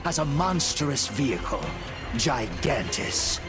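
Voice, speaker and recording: A man with a mid-pitched voice delivers dramatic scripted narration. He is solemn and measured, giving each phrase a portentous weight. Music plays clearly behind him. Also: big pitch swings. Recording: music under the voice. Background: background music.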